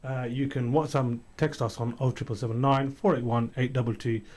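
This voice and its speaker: A man's voice: medium pitch, level tone, a little fast, a little mellow. A man hosting a community radio phone-in show, talking in a loose, chatty way with frequent ums and restarts. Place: radio studio.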